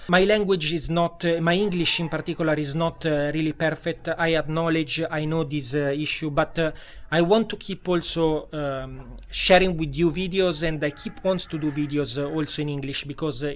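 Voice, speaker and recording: Halting Italian man, fairly high voice. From Italy. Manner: halting, many fillers. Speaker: vlogger. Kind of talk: casual vlog talk. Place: home in a tropical town.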